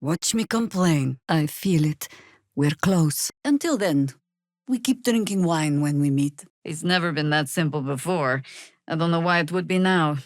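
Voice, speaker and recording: An actress voicing a stern, wry veteran druid woman in a fantasy video game, with a dry, sardonic, measured delivery. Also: low-pitched, lively intonation, no echo. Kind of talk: fantasy game character dialogue.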